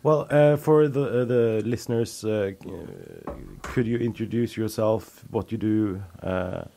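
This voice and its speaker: A man's voice: on the low side, steady tone, a little slow, mellow, slight background noise. Giggly hesitant man hosting. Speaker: podcast host. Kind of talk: casual podcast chat.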